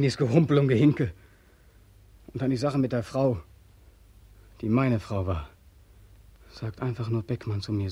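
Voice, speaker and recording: An actor playing an embittered, despairing young soldier home from captivity, in an agitated, repetitive 1940s radio drama manner. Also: male voice, mid-range voice, gravelly, a little mellow, husky, dry recording. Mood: agitated, defiant.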